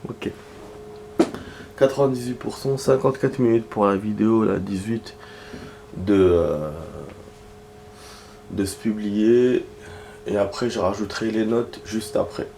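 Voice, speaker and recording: A man's voice: a little slow, long pauses, a little hiss or hum. A man with a cold, casually reading in-game text aloud and chatting while he plays. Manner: low, flat, gravelly delivery with muttered asides. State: has a cold, clearing his throat. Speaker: gaming streamer.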